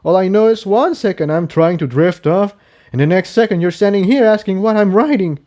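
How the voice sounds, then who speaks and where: A man's voice: high voice, a little fast, warm tone, pitched above the performer's own voice, lightly acted. A man voicing an anguished, angry young male unicorn pony in a fantasy story, agitated and argumentative. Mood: frustrated.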